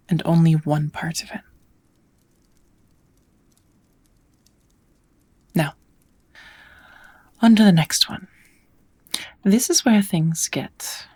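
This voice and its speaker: A woman playing a kind witch who patiently teaches magic in a soft, often whispered ASMR roleplay voice. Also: fairly low pitch, breathy edge, full character voice. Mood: patient, encouraging. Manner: patient, encouraging, unhurried teaching. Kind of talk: ASMR roleplay, whispered lesson to one listener.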